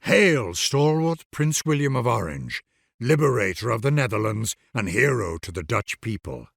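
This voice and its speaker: A man's voice: mid-range voice, very animated, normal speed, vocal fry, no echo, studio quality. A man reading scripted grand historical narration for a video game in a solemn, formal, stately manner.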